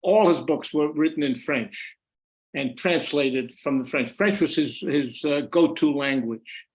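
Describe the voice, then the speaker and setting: A man's voice: on the high side, a little slow. An older man, an author being interviewed on a video call, answering thoughtfully with many restarts and repeated words. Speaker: author and former journalist, guest. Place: home room.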